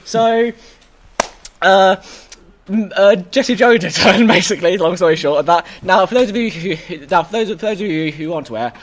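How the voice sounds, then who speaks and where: A hoarse man hosting a casual review chat, excitable and full of stammering restarts. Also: high-pitched, normal speed. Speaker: host.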